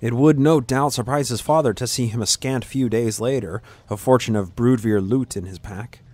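A man narrating scripted fantasy fiction in a measured, dramatic storytelling manner with deliberate pauses. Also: medium pitch, a little mellow, dry recording.

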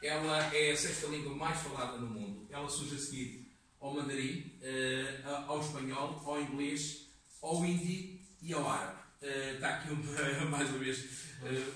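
A man in his fifties with a European Portuguese accent, giving a halting classroom lecture full of fillers, recorded from across the room. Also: medium pitch, fairly even intonation, sharp tone. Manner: halting, many fillers and restarts. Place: community classroom with seated audience. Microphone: far camera in the room. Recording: echoey room, voice from a distance.

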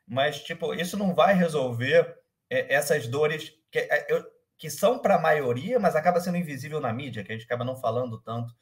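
A man in his thirties speaks with a carioca accent worn down by years in São Paulo. He explains things in a loose, hesitant way, stopping to restart his sentences and filling pauses. The sound comes from a webcam on a video call at home. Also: medium pitch. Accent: carioca Brazilian Portuguese, softened by years in São Paulo. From Rio de Janeiro, Brazil. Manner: hesitant, many fillers and restarts. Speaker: guest, company manager. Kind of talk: casual interview conversation. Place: room at home, indoors. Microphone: webcam or laptop mic on a video call.

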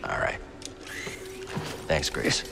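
An actor playing a weary, earnest young human hero in a video game, understated and subdued. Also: male voice, drawn-out words, over music. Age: young adult. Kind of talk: naturalistic video game acting.

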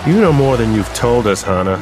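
Cast: actor as a gruff team leader barking orders, with a raised, animated voice and music behind. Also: male voice, medium pitch, fairly quick, close to an everyday voice. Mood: commanding.

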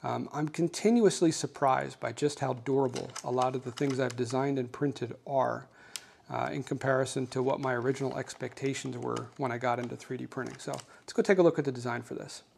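A man's voice: mid-range voice, steady tone, a little fast, creaky, a little mellow, slight background noise. Man casually explaining his homemade design. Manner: conversational, unscripted. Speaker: host of a 3D printing show.

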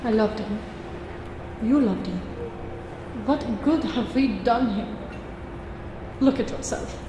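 A woman's voice: on the high side, slow. An actress playing a proud, bitter, loyal woman in love in an emotional radio drama. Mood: hurt, defiant. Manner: emotional, reproachful. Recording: echoey hall with noisy ambience.

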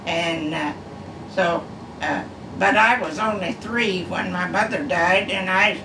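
An elderly woman in her nineties, sitting at home and slowly telling family stories with many pauses and uhs. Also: fairly low pitch. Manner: slow, rambling storytelling with many uhs. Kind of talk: casual reminiscing. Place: living room at home, seated in armchair. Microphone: camera across the room. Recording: echoey room, far camera, background hum.

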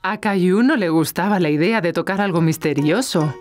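A woman's voice: lowish voice, moderate pace, a little mellow, faint music behind, close to an everyday voice. A woman performing scripted storybook narration for a children's cartoon. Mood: storytelling.

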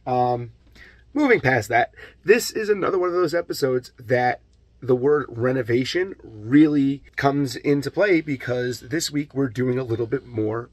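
A man in his thirties hosting a casual toy collecting vlog in a relaxed, chatty way with plenty of fillers, filmed at home on a camera held at arm's length. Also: mid-range voice, dry recording. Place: home toy room, in front of green screen.

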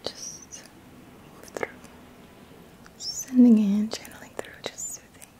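Slow whispering ASMR woman. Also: a little high, fairly even intonation. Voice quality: breathy whisper, mostly unvoiced. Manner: gentle, slow, soothing, halting. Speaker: ASMR host, energy healing guide. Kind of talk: ASMR, whispered guided session. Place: small quiet indoor room. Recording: faint background noise.

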